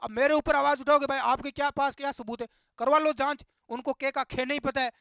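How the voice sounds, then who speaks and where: A man with a very high, sharp, forceful voice addresses his online viewers. He speaks as an indignant commentator, exhorting and driving points home through repetition. The sound is close and dry. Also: steady tone, a little fast, vocal fry, no echo. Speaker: YouTube host. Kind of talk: impassioned opinion commentary to viewers.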